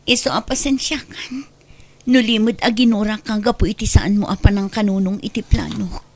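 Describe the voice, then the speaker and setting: A woman voicing a young wife in an audio drama, speaking in an emotional, pleading and often hushed way with a husky voice. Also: medium pitch, fairly even intonation, normal speed, lightly acted. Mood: remorseful.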